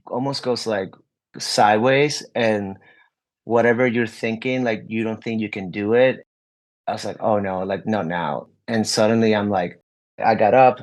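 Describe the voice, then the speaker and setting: Low-voiced man, rambling candid talk. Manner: rambling, many "like" fillers and restarts. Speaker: guest musician. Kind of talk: candid interview answers.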